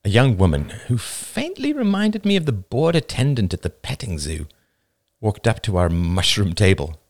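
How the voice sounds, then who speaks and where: Deep-voiced man reading a story. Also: sing-song, normal speed, dry recording. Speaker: narrator. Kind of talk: reading a first-person story aloud.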